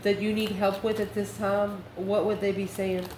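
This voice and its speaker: A woman's voice: mid-range voice, little pitch movement, normal speed. A woman giving an informal tarot reading as host, talking in a folksy, emphatic way and addressing listeners with endearments. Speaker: tarot card reader and channel host. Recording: roomy echo, faint background noise.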